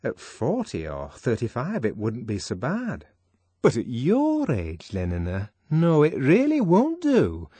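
A man's voice: mid-range voice, wildly swooping pitch, unhurried, warm tone, slightly rough, no room sound, clean studio sound, pitched above the performer's own voice, exaggerated voice. A man reading an audiobook voices a prim, disapproving young woman who scolds her friend with fussy, mock-shocked emphasis. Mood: scolding. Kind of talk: audiobook character voice.

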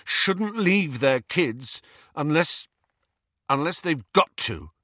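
A man's voice: a little high, lively intonation, slow, pushed and bright, no echo, studio quality, voice pushed up, heavily acted. A man voicing a hot-headed, accusing teenage boy in an audiobook story. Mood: defensive, pleading.

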